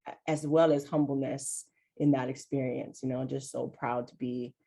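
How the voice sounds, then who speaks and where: Host: woman, low voice, reflective and friendly, casual talk full of fillers. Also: fairly even intonation, moderate pace, vocal fry, husky. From Hawaii. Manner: warm, reflective, with many "like" and "you know" fillers. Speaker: host, mental health coach. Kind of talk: casual chat between friends.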